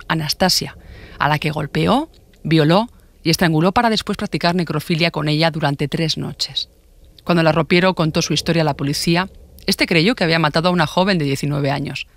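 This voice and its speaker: A man's voice: on the high side, a bit bright. A man narrating a scripted true-crime documentary.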